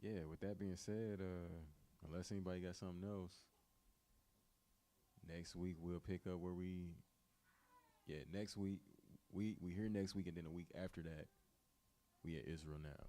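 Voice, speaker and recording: A man's voice: sits low, fairly even intonation, moderate pace, long pauses, dark tone. A man leading an informal Bible study, teaching and retelling in colloquial, repetitive everyday speech.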